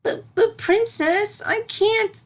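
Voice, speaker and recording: A woman voicing an anxious little girl unicorn in a cartoon story, with whiny, excitable exclamations. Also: very high voice, takes it slowly, voice pushed up. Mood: frightened, pleading. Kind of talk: cartoon fan fiction character reading.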